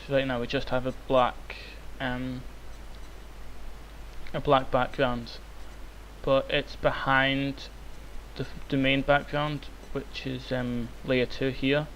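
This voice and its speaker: A young man, hoarse and croaky from illness, rambling with many ums through a software tutorial. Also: medium pitch, flat delivery, takes it slowly, low-quality recording. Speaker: tutorial maker. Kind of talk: screen-recorded software tutorial. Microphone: cheap microphone. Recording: faint hiss, cheap mic.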